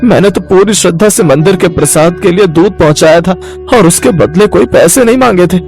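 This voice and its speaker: A man voicing a humble, devout village milkman in an earnest, plaintive way for an animated moral story. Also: high voice, brisk, vocal fry, faint music behind. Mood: pleading.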